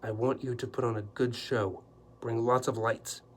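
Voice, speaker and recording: A man voicing a calm, curious investigator. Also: normal speed, slight background noise, close to an everyday voice. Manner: calm, low, questioning.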